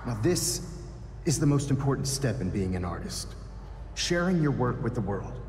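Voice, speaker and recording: A man playing a suave, encouraging art teacher in a video game, polished but with small hesitant pauses. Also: medium pitch, very animated, darkish tone, close to an everyday voice. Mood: showy, public. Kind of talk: video game cutscene dialogue and stage announcement. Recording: echoey hall, crowd and noise behind.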